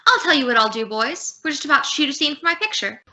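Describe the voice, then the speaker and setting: Woman playing a sharp, haughty Hollywood actress. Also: high-pitched, animated, fast talker, full character voice. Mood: dismissive, sarcastic. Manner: curt, sarcastic. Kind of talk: 1940s radio comedy acting.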